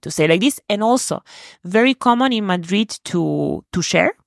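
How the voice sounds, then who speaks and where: A woman's voice: medium pitch, lively intonation, normal speed, creaky, no room sound. Spanish-accented woman, hesitant and dry-sounding. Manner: hesitant, many fillers, non-native phrasing. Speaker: university representative. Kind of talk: conversational interview answers.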